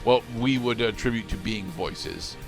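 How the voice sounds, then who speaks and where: A man co-commentating on a game in a measured, hesitant manner, often pausing to find his words. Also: on the low side, creaky, faint music behind. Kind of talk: casual game commentary chat.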